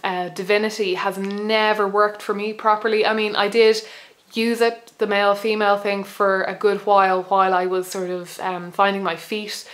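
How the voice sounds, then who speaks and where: A young Irish woman in her twenties, bilingual, talking to camera in a casual, reflective way with many pauses, restarts and small laughs. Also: mid-range voice. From Ireland. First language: bilingual Irish speaker. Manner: hesitant, many ums and restarts, soft laughs. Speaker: pagan video blogger.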